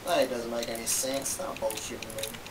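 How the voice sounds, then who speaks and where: A man chatting casually while gaming, with sarcastic, deadpan teasing. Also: medium pitch, normal speed. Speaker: gamer. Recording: distant noisy mic.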